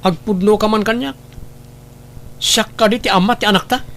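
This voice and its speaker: A man's voice: high voice, steady tone, normal speed, fairly bright tone. An audio drama husband, acted by a man, wounded and full of suspicion. He presses his doubts insistently and accusingly, with melodramatic weight.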